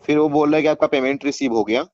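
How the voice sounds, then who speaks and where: A man's voice: fairly high pitch, fast, a little mellow. An Indian man on a phone call, informally recounting his own experience, insistent and often repeating his words. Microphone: phone line. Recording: muffled phone call with faint noise.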